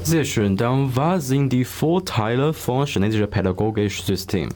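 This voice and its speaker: A man's voice: medium pitch, expressive, moderate pace, a little mellow. A young man hosting a student interview, asking polite, scripted questions. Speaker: student host. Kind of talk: moderating a school interview.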